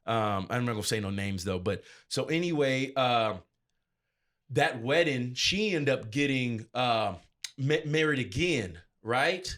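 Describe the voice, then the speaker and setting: A man's voice: mid-range voice, lively intonation, measured pace, a bit bright. A man speaking as an interview guest and event DJ, telling stories in a casual, emphatic, slangy way. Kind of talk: casual anecdotal storytelling.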